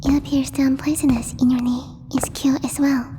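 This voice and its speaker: A woman voicing a gentle, timid alien girl in a soft, breathy ASMR roleplay, speaking formally and haltingly as she searches for words. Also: sits high, level tone, close to an everyday voice. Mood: tender, concerned. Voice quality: breathy, whispery. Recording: long reverb, faint background noise.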